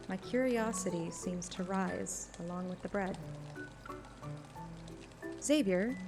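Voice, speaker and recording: A woman playing a young heroine in a romance game, reading narration and dialogue aloud. She sounds playful and curious at an ordinary female pitch, with soft music in the background. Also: vocal fry. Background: light game music.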